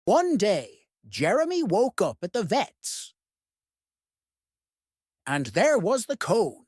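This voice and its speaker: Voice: medium pitch, huge pitch swings, slow pace, darkish tone, husky, dry recording, clean studio sound. A storyteller reading a children's picture book aloud expressively, addressing young listeners. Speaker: read-aloud host.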